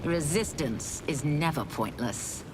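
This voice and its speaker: An actress playing a defiant young woman warrior who speaks firmly, over loud game music. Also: deep voice, expressive, takes it slowly, very noisy. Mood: resolute. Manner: firm, defiant.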